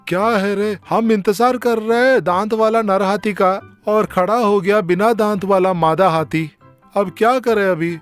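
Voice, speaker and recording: A scheming male poacher from a cartoon story, put on by a man. The voice is pushed up high and sounds agitated and grumbling, impatient with everything. Music plays underneath. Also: smooth.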